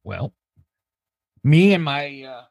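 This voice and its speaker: Man, podcast host, unhurried pace, hesitant musing, casual chat, dry close recording. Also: mid-range voice, very animated, vocal fry, darkish tone, no echo.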